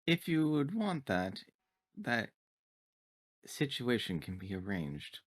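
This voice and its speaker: A man voicing a polite, obliging female android with a formal, flat, deliberate manner. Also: medium pitch. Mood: polite, deadpan.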